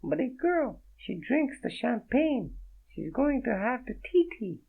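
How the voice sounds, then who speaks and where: A man's voice: very high voice, sing-song, takes it slowly, gravelly, breathy edge, voice pushed up, exaggerated voice. A man voicing a drunk, coy young woman with a French accent who slurs her words. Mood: tipsy, coy. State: drunk, slurring. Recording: tinny phone-like sound.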